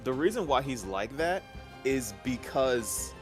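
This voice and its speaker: A man's voice: mid-range voice, lively intonation, unhurried. A young man giving casual fan commentary as a guest, playful and informal with gamer slang. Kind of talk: casual chat, fan commentary on a game story. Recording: music playing behind the voice. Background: music.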